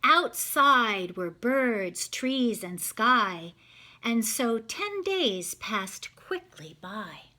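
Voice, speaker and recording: A woman's voice: a little high, sing-song, very slow, pushed and bright. A woman reading a picture book aloud to children, expressively, stopping to ask them simple questions. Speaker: children's read-aloud storyteller.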